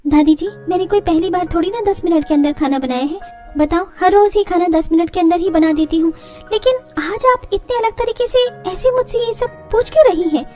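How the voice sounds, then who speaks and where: A woman plays a young housewife in a storybook family tale. Her voice is very high, earnest and defensive, with a pleading, questioning manner over music. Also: brisk, mellow. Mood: puzzled.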